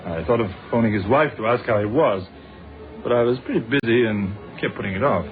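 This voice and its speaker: Hissy narrow old radio play, actor doing a calm polite british police inspector, mid-pitched. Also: male voice, faint music behind, natural voice. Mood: reflective. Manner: polite, measured, sympathetic. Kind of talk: old radio drama acting.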